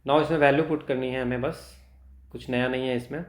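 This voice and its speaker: A man with an Indian accent teaching exam problems step by step, halting and thinking aloud as he calculates. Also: mid-range voice, steady tone. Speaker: physics teacher for exam preparation. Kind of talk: instructional walkthrough of exam problems, switching between Hindi and English.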